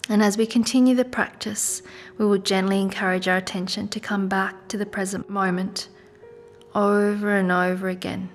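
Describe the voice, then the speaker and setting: A woman leading a guided meditation in a soft, gentle, soothing manner with unhurried pauses. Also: mid-range voice, fairly even intonation. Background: music.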